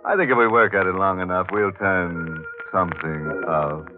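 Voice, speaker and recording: An actor playing a ghoulish, gleeful horror-show host with a sly, drawn-out manner, in 1940s radio style. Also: male voice, low voice, steady tone, natural voice. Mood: sly, macabre. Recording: muffled vintage 1940s radio recording, music behind.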